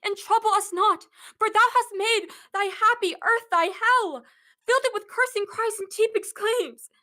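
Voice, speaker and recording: Casting: young actress for furious bereaved noblewoman, Shakespearean radio drama, extremely high, sharp voice, scornful and impassioned. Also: exaggerated voice. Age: young adult. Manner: declamatory, impassioned. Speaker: acting student. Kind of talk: Shakespearean radio play acting.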